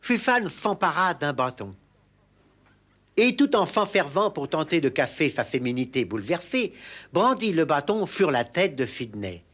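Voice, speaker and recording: A man's voice: on the high side, very animated, moderate pace, a bit bright. A man playing a pompous, overdramatic poetry reader with a lisp-like impediment, in a comic recital. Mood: dramatic storytelling. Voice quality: muddled sibilants, lisp-like impediment.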